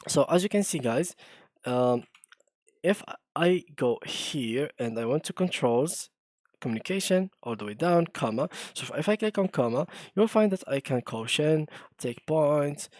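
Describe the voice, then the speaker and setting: A man's voice: on the high side, animated, unhurried, darkish tone, no room sound. A man hosting a screen-recorded game tutorial, talking casually with frequent fillers and restarts. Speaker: tutorial host.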